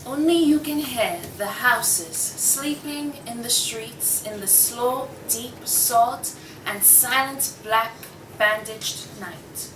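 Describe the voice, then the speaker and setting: A woman's voice: fairly high pitch, slow pace. A young woman playing the narrator of a sleeping town, reciting poetically in a table read of a radio play. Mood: measured. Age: young adult. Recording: echoey noisy room, distant mic.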